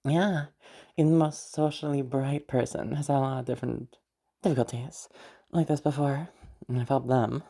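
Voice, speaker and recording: A woman acting as a playful, caring party host in an intimate audio roleplay, soft-voiced and hesitant, rambling as she gently reassures the listener. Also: very deep voice, fairly even intonation, normal speed, vocal fry, full character voice. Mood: warm, comforting.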